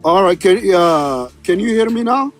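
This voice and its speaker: A man in his thirties in a political debate, defending his view in a halting way with many restarts. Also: high voice, lively intonation, soft background music. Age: 30s. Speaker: panel participant. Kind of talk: heated online political debate.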